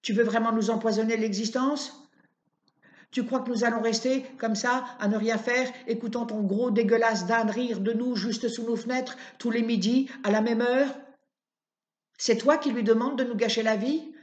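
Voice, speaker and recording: A woman's voice: fairly high pitch, steady tone, moderate pace, close to an everyday voice. A woman voicing a furious old woman neighbor who screams threats and insults. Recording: dull roomy recording.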